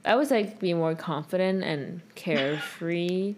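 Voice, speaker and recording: A woman's voice: fairly low pitch, measured pace, darkish tone. A young woman co-hosting a podcast, chatting casually and rambling with lots of "like". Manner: rambling, heavy use of "like". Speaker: podcast co-host. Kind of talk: casual chat.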